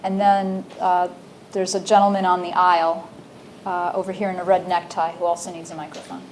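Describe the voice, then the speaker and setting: A woman moderating a panel, calling on audience members in a brisk, directing way. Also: on the low side, level tone, slight background noise, rough recording. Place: conference room, on stage.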